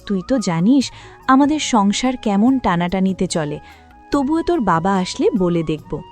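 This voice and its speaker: A woman's voice: mid-range voice, very animated, measured pace. A woman playing a careworn, practical mother of a poor family in a cartoon, worried and scolding. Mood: resigned. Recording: background music under the voice.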